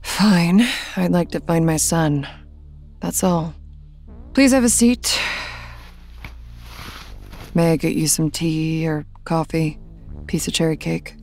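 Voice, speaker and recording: Gravelly, low-voiced bitter widowed mother. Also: slow pace, long pauses, a little mellow, faint music behind. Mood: guarded, curt. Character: bitter, guarded widowed mother of a missing son. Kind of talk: audio drama acting.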